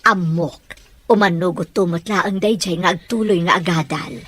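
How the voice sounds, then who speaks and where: An actress playing a caring middle-aged aunt in a radio drama, speaking in a kindly and reassuring way. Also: female voice, fairly low pitch, normal speed, fairly bright tone.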